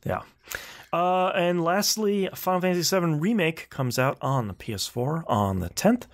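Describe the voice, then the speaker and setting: A man's voice: fairly high pitch, expressive, moderate pace. A man hosting a video game podcast in casual conversation, talking in a rambling, enthusiastic and wry way. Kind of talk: casual podcast chat about video games.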